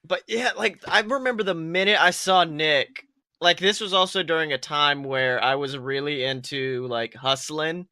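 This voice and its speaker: Bright, high-voiced young man, animated and profane. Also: normal speed, no echo, clean studio sound. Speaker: podcast co-host. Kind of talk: casual comedic chat.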